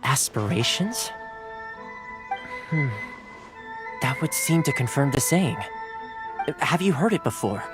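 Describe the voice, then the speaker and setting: A man voicing a polite, composed retainer who explains things in a measured way. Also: mid-range voice, animated. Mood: earnest. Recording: game audio with clear music behind.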